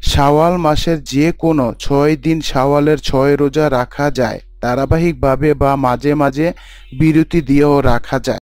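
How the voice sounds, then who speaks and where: A man playing a pious husband and father in a scripted Islamic story, explaining teachings in a measured, didactic way. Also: a little high, steady tone, darkish tone, no echo, clean studio sound, natural voice. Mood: earnest, instructive.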